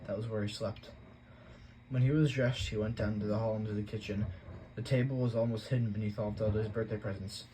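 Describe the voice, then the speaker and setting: A man reading a novel aloud as an audiobook, in plain, steady storytelling. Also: on the low side, fairly quick, a little hiss or hum, low-quality recording. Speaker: audiobook reader.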